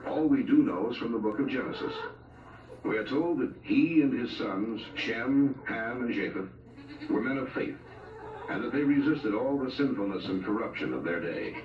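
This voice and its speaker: A man's voice: mid-range voice, lively intonation, normal speed, dull audio, slight background noise. A man narrating a scripted documentary in a solemn, storytelling manner. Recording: roomy, distant 1970s film soundtrack. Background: loud music.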